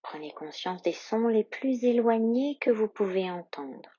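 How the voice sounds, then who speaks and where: A woman yoga instructor leading a guided meditation in a calm, soothing, soft and breathy voice with long, measured pauses. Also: on the high side, no echo, muffled recording.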